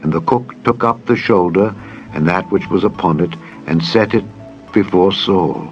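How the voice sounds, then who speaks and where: Male narrator, dramatized scripture style, lowish voice, solemn and measured, music behind. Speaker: Bible narrator. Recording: dull lo-fi audio over music.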